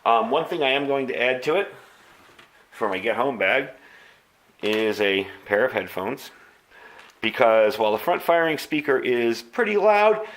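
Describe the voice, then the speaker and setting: An American man with a mid-pitched voice reviews gear in a casual, hobbyist way. He talks informally through each step as he shows it, like an enthusiast explaining his equipment. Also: fairly bright tone. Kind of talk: casual product review and demonstration.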